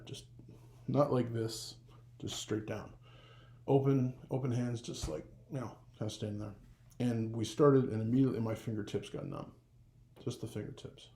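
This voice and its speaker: Gravelly young man, low dark voice. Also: moderate pace. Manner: rambling, animated storytelling with frequent fillers. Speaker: vlogger. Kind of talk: casual personal vlog testimony.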